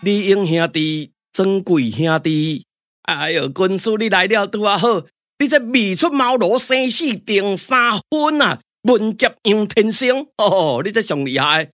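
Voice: mid-range voice, expressive, unhurried, fairly bright tone, no room sound, clean studio sound. A performer with a Taiwanese accent voicing a stately male general in a glove-puppet radio drama, declaiming theatrically.

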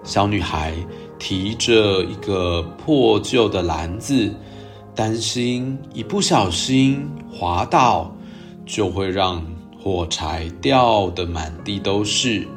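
A man hosting a storytelling show, narrating for young children in a gentle, expressive way. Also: on the low side, takes it slowly, faint music behind. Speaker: children's storytelling podcast host.

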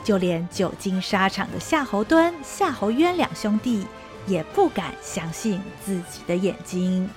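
A woman's voice: mid-range voice, expressive, a little slow, over music. A woman narrating a historical radio drama in a vivid, dramatic storytelling style.

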